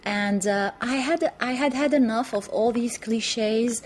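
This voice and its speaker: A woman from Lebanon, answering interview questions thoughtfully, with many pauses, fillers and restarts, in a TV studio. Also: a little high, a little hiss or hum. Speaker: interview guest, author.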